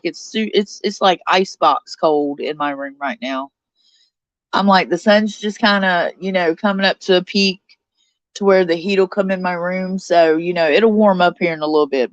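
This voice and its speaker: A chatty woman in her forties talks to her live-stream viewers from a cold bedroom, a little stuffed up from her sinuses. Her voice sits low and smooth. The sound is close and dry, and a bit dull. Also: dry recording. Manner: chatty, reads out viewer comments. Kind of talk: casual live stream chat. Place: cold bedroom under a blanket.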